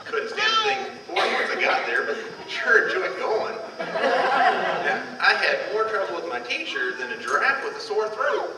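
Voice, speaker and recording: Male comic for homespun monologue, lively swooping delivery, drawn-out rustic storytelling, heard from afar in a reverberant hall. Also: high voice. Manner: drawn-out setups, countrified storytelling in character. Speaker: amateur comedian. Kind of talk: folksy old-time stand-up monologue. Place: large hall in front of a live audience. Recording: echoey hall from far off, noisy.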